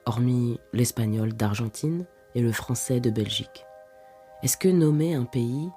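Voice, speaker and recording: A woman hosting a podcast, reading scripted narration with measured, deliberate phrasing. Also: a bass voice, mellow, soft background music.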